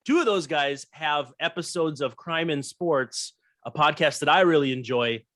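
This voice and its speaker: A man's voice: on the high side, moderate pace, a bit bright, dry recording, studio quality. A man in his thirties with an American accent, hosting a casual podcast interview and asking questions. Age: 30s.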